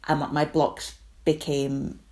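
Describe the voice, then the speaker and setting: A woman's voice: deep voice, completely flat delivery, slow, darkish tone. A woman describing her stutter, speaking with silent blocks and frequent "um" and "like" fillers. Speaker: community member sharing experience. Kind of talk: personal testimony to camera.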